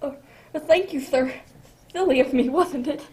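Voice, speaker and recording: Female performer as sheepish Santa-suited stranger, high-pitched, polite, hurried, radio drama. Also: a little mellow. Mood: sheepish, thankful. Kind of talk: amateur radio drama acting.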